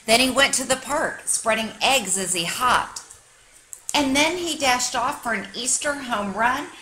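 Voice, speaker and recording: Bright, animated woman reading a children's story. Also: medium pitch, a little hiss or hum, low-quality recording. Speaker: teacher reading aloud. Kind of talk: expressive children's picture book read-aloud.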